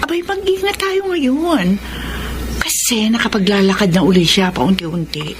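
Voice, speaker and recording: Isang babaeng gumaganap bilang may-edad na inang may lihim na relasyon at mabilis mainis, sa dramang panradyo. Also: female voice, mid-range voice, animated, a little slow, background noise, close to an everyday voice. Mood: hushed, fond. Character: older mother in a secret affair, impatient, sharp-tongued caregiver. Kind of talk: Tagalog radio drama acting.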